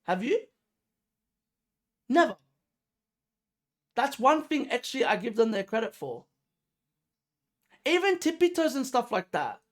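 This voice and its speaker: Animated, emphatic reaction host with lively pitch. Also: a little slow, long pauses. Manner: emphatic, repetitive, full of rhetorical questions; informal, slangy. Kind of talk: casual music commentary.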